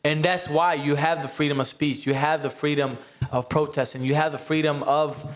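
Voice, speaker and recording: Higher-pitched American man, earnest podcast host. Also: fairly even intonation, normal speed. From United States. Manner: earnest, emphatic, repeatedly checks with "right?". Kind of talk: casual round-table podcast talk.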